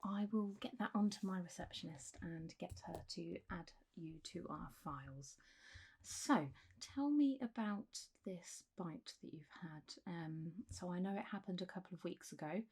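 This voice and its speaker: A woman playing a calm, reassuring doctor in a soft-spoken ASMR roleplay, gently drifting in and out of whispers. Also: lowish voice, moderate pace, husky. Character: calm, reassuring female clinic doctor for zombie patients. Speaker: ASMR performer.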